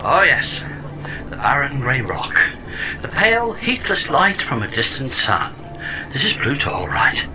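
A man's voice: mid-range voice, wildly swooping pitch, unhurried, over music, poor audio. A man playing a chatty, smug robot pod sidekick in a comedy audio drama, explaining things with wry, wordy theatrical flourishes.

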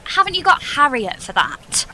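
A young woman playing a reluctant, exasperated daughter, hesitant and deadpan, in an audio comedy drama. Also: high-pitched, normal speed, a little hiss or hum, heavily acted, rough recording. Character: reluctant, exasperated heroine daughter, awkward and unsure.

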